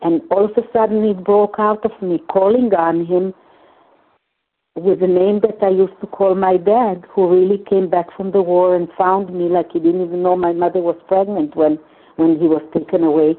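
An older woman whose first language is Hungarian speaks on a tinny phone line. Her voice sits a little low, and she talks haltingly and earnestly, repeating words as she shares. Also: steady tone. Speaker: meeting participant sharing. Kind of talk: personal share in a phone recovery meeting.

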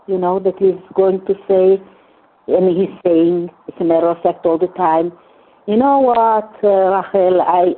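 A woman whose first language is Hungarian, sharing her story at a recovery meeting in an earnest, halting way with many restarts. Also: mid-range voice. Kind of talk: personal share at a recovery phone meeting. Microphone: phone. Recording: tinny phone line.

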